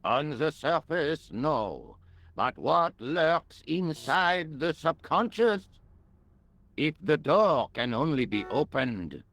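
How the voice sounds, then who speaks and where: A man playing a pompous, self-important French police detective, speaking deliberately with a French accent in a video game. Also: fairly high pitch, sing-song, fairly bright tone, full character voice. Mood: grave, self-assured. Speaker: police inspector.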